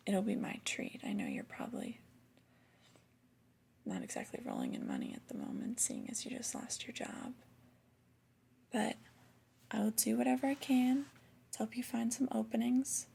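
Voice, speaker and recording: A woman playing a flirty, disapproving female boss in a soft-spoken ASMR roleplay, breathy and half-whispered, with a gentle, hesitant, intimate delivery. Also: a little high, very animated, moderate pace, pauses often, full character voice. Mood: flirty, reassuring. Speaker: ASMR performer.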